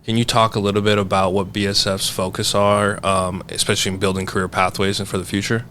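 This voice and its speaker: A man's voice: on the low side, flat delivery, fast, sharp tone, slight background noise. A man co-hosting a podcast, speaking in an earnest, plain-spoken way. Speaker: podcast co-host. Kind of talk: casual podcast conversation.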